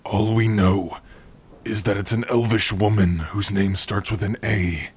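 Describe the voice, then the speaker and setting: A man voicing a gentle, protective orc in an ASMR roleplay, speaking softly and haltingly to his partner in a husky, breathy voice. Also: on the low side, fairly even intonation. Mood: grave, explaining. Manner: soft, halting, hushed, intimate.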